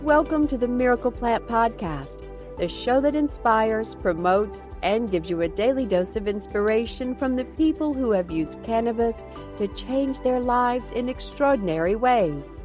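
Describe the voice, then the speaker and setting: High-voiced woman announcer over music. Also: expressive, unhurried. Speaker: podcast announcer. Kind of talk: scripted intro narration.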